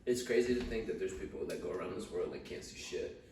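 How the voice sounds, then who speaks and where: A man's voice: low-pitched, expressive, fast talker, a little mellow. A young man hosting a casual chat with friends, bantering in slang and swearing freely. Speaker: podcast host. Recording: echoey room, distant mic, background noise.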